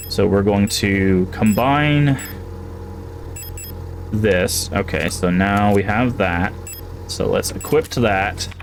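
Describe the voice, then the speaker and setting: A man's voice: lowish voice, slight background noise. A man streaming a horror game, thinking aloud and reading in-game items and notes as he plays. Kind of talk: casual gameplay commentary.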